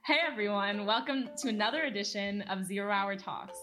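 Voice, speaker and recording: A woman's voice: medium pitch, brisk, fairly bright tone, soft background music, poor audio. An eighteen-year-old woman hosts a podcast in a casual, earnest way. She fills her talk with "um" and "like" as she tells her story. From Los Angeles. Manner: earnest, many fillers like "um" and "like". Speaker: podcast host, youth organizer.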